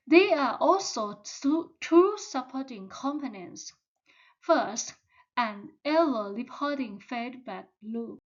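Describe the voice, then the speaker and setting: Slow, careful woman presenting. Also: fairly high pitch, dull audio. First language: Thai. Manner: slow, careful, step-by-step delivery. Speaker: student presenter.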